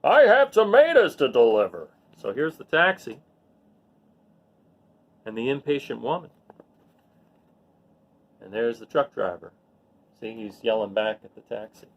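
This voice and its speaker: A man's voice: lowish voice, measured pace, vocal fry, long pauses, highs cut off. A man reading a picture book aloud expressively, with casual asides. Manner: expressive, acts out the lines. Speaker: read-aloud storyteller.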